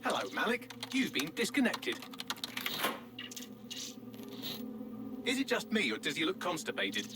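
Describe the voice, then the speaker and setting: An actor playing a smug, sardonic, foul-mouthed AI assistant in a video game, glib and wordy. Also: male voice, high-pitched, very animated, brisk, very noisy. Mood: mocking. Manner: glib, mocking, dryly wordy.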